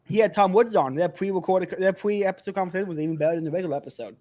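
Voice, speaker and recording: A man's voice: high voice, racing, darkish tone. A man hosting a live podcast, chatty and rambling, laughing often and a bit tipsy. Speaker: podcast host. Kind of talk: casual chat.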